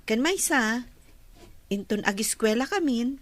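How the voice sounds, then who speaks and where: A woman's voice: on the high side, expressive, a little slow. An actress playing a polite young working woman in an Ilocano radio drama. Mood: polite, earnest.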